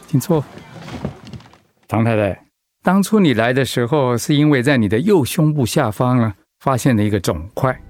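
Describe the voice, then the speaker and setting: An actor playing a calm, measured male doctor in a radio drama, explaining things professionally. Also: medium pitch, close to an everyday voice. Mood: calm, explanatory.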